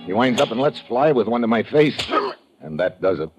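An actor playing a tough, wisecracking American adventurer, clipped and sardonic, in hardboiled old-time radio style. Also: male voice, medium pitch, big pitch swings, fairly quick, over loud music, lightly acted. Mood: tense narration. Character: tough, wisecracking male adventurer narrating his dangerous assignment. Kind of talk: 1950s hardboiled radio acting. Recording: dull vintage radio recording.

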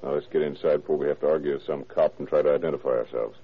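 An actor playing a shrewd, commanding police detective in an old-time radio drama, with a dry, clipped, authoritative delivery. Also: male voice, extremely low pitch, steady tone, rapid-fire, a little hiss or hum. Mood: firm, assured. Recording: muffled, narrow-band vintage radio recording.

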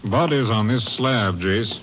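An actor playing a steady, businesslike American Texas Rangers captain in an old-time radio drama. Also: male voice, fairly low pitch, measured pace. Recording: dull, slightly noisy old radio recording.

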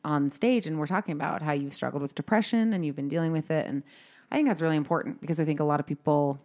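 A woman's voice: low voice, fast, darkish tone. A woman co-hosting a podcast, talking in a chatty, informal way and putting questions to a guest. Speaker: podcast co-host. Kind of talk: casual podcast chat.

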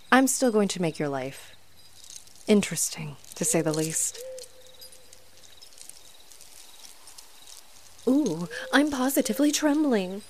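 A woman's voice: lowish voice, a little hiss or hum. A woman playing an aggravated, haughty, flirtatious female vampire in a scripted audio roleplay, with mocking, theatrical delivery. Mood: flirtatious taunting. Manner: mocking, sardonic, theatrical taunting.

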